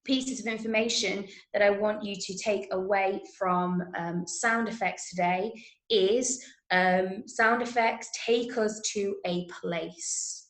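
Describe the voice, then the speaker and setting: A woman's voice: medium pitch, steady tone, takes it slowly. Englishwoman teaching in an echoey room. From Manchester, England. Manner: encouraging, praising, checking understanding. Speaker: drama workshop teacher. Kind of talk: interactive online lesson. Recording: roomy, echoing online class audio.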